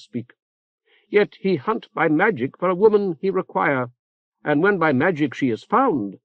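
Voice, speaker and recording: A man's voice: on the high side, no room sound, phone-quality sound, pitched above the performer's own voice. A man reading an audiobook voices an imperious foreign female ruler who orates in broken, ungrammatical English. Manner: grand, speechmaking, ungrammatical English.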